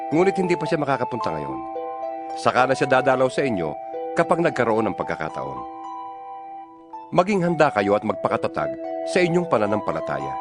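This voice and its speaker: A man's voice: medium pitch, wildly swooping pitch, brisk, pauses often. A man with a Filipino accent playing an apostle exhorting fellow believers in an emphatic, oratorical dramatized Bible reading. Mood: earnest, instructive. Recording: music bed behind the voice.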